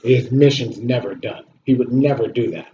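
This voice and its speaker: Male vlog reviewer, mellow but blunt and sweary, casual delivery, roomy lo-fi sound with faint noise. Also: mid-range voice, normal speed. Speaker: video host and movie reviewer. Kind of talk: casual opinionated movie review chat. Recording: roomy echo, dull audio, slight noise.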